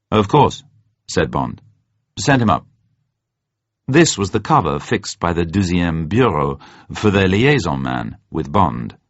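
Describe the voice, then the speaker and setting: Male narrator for spy fiction: low, husky voice, measured scripted storytelling, dull-sounding recording. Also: dry recording. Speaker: audiobook narrator.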